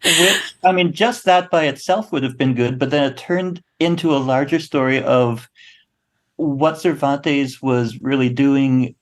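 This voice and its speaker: A man speaking as an interview guest, telling stories casually with a halting, wry, humorous delivery. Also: medium pitch. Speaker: guest playwright and actor.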